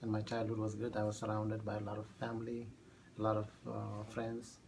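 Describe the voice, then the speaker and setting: Gravelly low-voiced man, halting speech. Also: completely flat delivery, noisy background. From Ethiopia. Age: 30s. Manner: halting, many fillers and restarts. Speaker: math teacher. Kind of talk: oral history interview.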